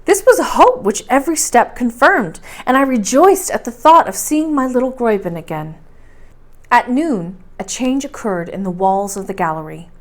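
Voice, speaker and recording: A woman narrates a story from the page as a storyteller. Her voice sits a little high and moves expressively up and down through the sentences. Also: animated, moderate pace. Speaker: storyteller reading aloud. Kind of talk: expressive scripted audiobook narration.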